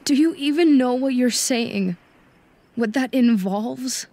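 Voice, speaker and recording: A woman voicing a guarded young cat-eared huntress in an audiobook, serious and tender, with a measured, hushed delivery. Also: high voice, no room sound, pitched above the performer's own voice. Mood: incredulous. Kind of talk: audiobook fan fiction character dialogue.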